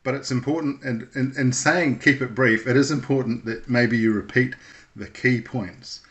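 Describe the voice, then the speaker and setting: A man presenting an informal, unscripted how-to lesson in a measured, explanatory way with thoughtful, hesitant phrasing. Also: mid-range voice, a bit bright, highs cut off. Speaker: instructor presenting a radio how-to video.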